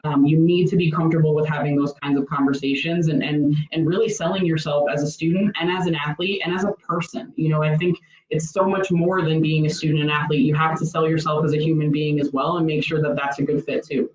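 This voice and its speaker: A woman's voice: sits low, steady tone, fast, darkish tone. Woman coach explaining stuff in an interview, kinda wordy n earnest, roomy lo-fi sound. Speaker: former college coach, interview guest. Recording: roomy, dull lo-fi audio.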